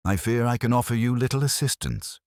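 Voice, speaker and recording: A man's voice: medium pitch, a little fast, darkish tone, no echo, studio quality, pitched above the performer's own voice, lightly acted. A man voicing a proud young politician in a mystery audiobook, formal, firm and defensive. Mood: courteous, guarded. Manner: courteous, formal, faintly irritated.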